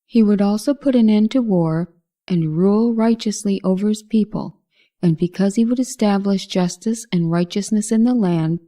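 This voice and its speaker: A woman's voice: medium pitch, moderate pace, warm tone. A woman reading a scripted narration of Bible history as a narrator.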